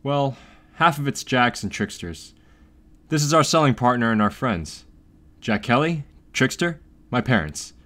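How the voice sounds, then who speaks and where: A man voicing an eager, polite teenage newsboy in a fan audio drama, talking in a lively way. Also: medium pitch, unhurried.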